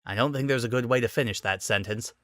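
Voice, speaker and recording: A man's voice: mid-range voice, fast talker, a bit bright, no room sound, clean studio sound, lightly acted. A man voicing an awkward, boyish young prince with a self-conscious manner in a romantic comedy story reading. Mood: earnest, awkward. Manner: flustered, sheepish, self-conscious. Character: awkward young prince, flustered, touchy-feely and boyishly petulant. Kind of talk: dramatic reading of romantic comedy fan fiction.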